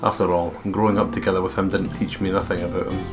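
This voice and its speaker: Male let's play host, deep voice, reading story narration over guitar game music. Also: flat delivery, fast. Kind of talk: reading visual novel narration aloud.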